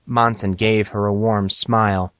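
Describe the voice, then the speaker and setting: A man's voice: fairly low pitch, flat delivery, a little slow, a bit bright, smooth, no room sound. Male storybook narrator, very dry sound. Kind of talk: scripted audio drama narration.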